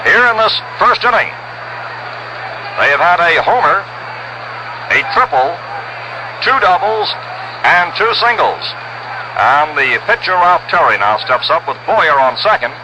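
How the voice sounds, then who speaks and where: An American man calling baseball play-by-play in a measured, descriptive 1960s radio style, heard on an old broadcast recording. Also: on the high side, big pitch swings, noisy background. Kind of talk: 1960s radio sports commentary.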